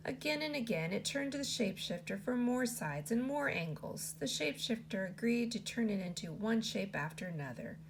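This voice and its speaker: A woman teacher reading a children's picture book aloud in an expressive storytelling style. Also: normal speed, hardly any pauses, a little hiss or hum, poor audio. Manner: expressive read-aloud with lots of pitch movement.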